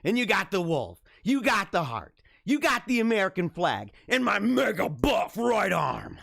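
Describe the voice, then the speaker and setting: A man's voice: high-pitched, huge pitch swings, unhurried, gravelly, a bit bright, dry recording, clean studio sound. A man hosting a live-streamed talk show, chatting casually with viewers and reading their comments. He chuckles often and repeats phrases as he talks.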